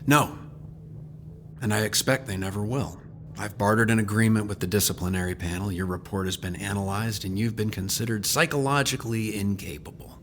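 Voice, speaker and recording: A man playing a stern older senior army officer, measured and commanding, in an audio drama. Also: on the low side, fairly even intonation, fairly bright tone, slight background noise, lightly acted.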